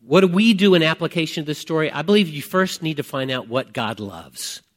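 Higher-pitched man preaching conversationally. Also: expressive, a little fast. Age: 50s. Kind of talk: conversational sermon with personal testimony.

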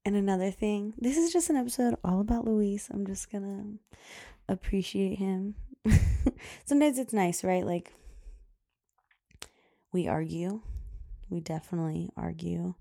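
A woman in her thirties chatting casually on her own podcast, rambling with lots of fillers, laughing often, with a loud voice. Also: mid-range voice, unhurried, gravelly, long pauses, dry recording, studio quality. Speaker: podcast host. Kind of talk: casual solo podcast chat.

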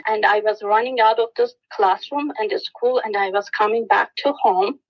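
A woman from Turkey with a Turkish accent, giving an earnest, steady interview answer with many fillers and restarts. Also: medium pitch, normal speed, husky, highs cut off. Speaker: guest, education specialist.

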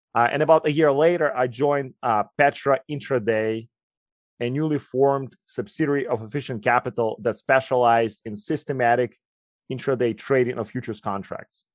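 A man in his forties from Ukraine, with a Ukrainian accent, answering interview questions in a measured, explanatory way. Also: mid-range voice, no room sound, clean studio sound. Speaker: guest, quantitative researcher.

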